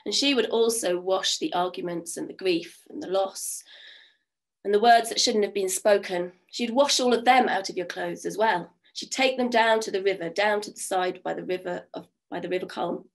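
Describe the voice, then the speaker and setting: Croaky-voiced woman telling stories. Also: medium pitch, normal speed, low-quality recording. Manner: warm, chatty, with many fillers. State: croaky from a cold. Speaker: storyteller. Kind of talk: oral storytelling. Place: home room. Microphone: video call webcam.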